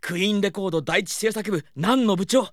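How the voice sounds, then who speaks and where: A man's voice: high voice, a little fast, no echo, clean studio sound, lightly acted. A man playing a polite, worry-prone young talent manager who gets whiny and flustered, in a comedic audio drama. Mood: flustered, earnest. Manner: polite, anxious, excitable.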